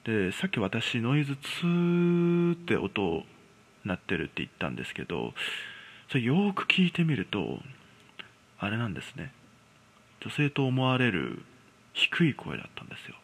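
A man's voice: mid-range voice, wildly swooping pitch, moderate pace, creaky, fairly bright tone, breathy edge. A man casually telling a ghost story in a conversational, hesitant way, acting out people's reactions as he goes. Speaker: storyteller host.

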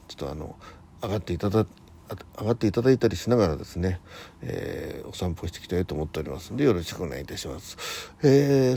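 Male host, 60s, deep mellow voice, rambling informal morning chat with muttered asides. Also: creaky, slightly rough. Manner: halting, rambling, muttering to himself and correcting himself. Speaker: host of a morning audio diary show. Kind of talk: casual solo radio chat.

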